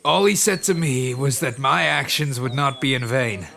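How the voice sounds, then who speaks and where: A man's voice: mid-range voice, moderate pace, gravelly, bright, forceful tone. A man playing a sardonic, bantering sci-fi trooper, wry and sarcastic in scripted drama dialogue.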